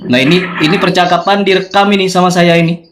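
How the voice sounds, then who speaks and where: A man with a fairly high voice and an Indonesian accent argues in a phone discussion. He explains his points assertively. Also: fairly even intonation, fast, crowd noise. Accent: Indonesian, non-Sundanese.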